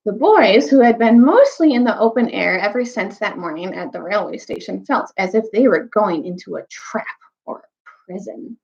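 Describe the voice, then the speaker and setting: A woman reads and retells a children's story in a teacherly, expressive way. Her voice sits at a middle pitch. The recording is a little dull and lo-fi. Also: normal speed, creaky. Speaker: teacher reading aloud to students. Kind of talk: storytime read-aloud with recap.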